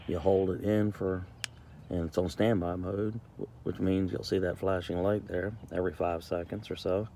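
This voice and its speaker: A man giving a casual, plain-spoken product review as a camping vlogger, halting and repeating himself for emphasis. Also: deep voice, creaky, rough recording. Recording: steady background noise.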